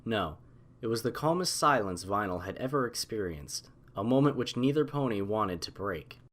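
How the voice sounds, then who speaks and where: A man narrating a scripted story as an audiobook reader, in a measured, expressive storytelling manner. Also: lowish voice.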